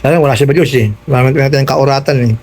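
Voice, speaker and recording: A man's voice: medium pitch, very fast, a little mellow. A Filipino man hosting a casual hands-on tutorial vlog, chatting directly to his viewers. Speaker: vlogger hosting an unboxing and installation.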